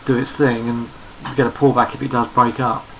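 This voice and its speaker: A hoarse man who is losing his voice, talking through market charts in an unhurried, think-aloud way and often repeating words as he goes. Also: mid-range voice, level tone, noisy background. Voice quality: gravelly. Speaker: trading analyst. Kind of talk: screen-recorded market chart commentary.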